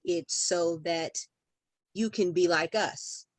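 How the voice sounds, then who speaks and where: A woman's voice: on the low side, slow pace, no echo. Emphatic woman guest on a podcast. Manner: emphatic, repeats phrases for rhythm. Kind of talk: conversational podcast interview.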